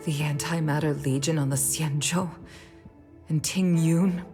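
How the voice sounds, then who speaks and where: A low-voiced, solemn, dignified commander. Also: female voice, steady tone, warm tone. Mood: stunned, grieving. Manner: formal, measured, solemn. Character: grieving, dignified middle-aged fox-woman commission leader. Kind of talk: scripted video game voice acting. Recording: game music under the voice. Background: game music.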